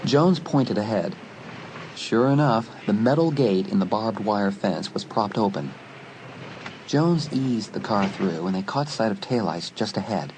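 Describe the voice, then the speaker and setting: A man's voice: on the low side, lively intonation, measured pace, noisy background. A man narrating a dramatised horror story as an audiobook narrator. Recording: loud music behind. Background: loud music.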